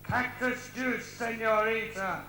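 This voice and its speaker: A man's voice: very high voice, fairly even intonation, takes it slowly, bright, forceful tone, faint music behind, heavily acted. A man playing a Mexican barman in a comic stage pantomime, drawing out his sales talk theatrically with a put-on Mexican accent. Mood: sly, boastful. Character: Mexican barman peddling a dubious potent cactus brew. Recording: echoey noisy amateur theatre stage recording.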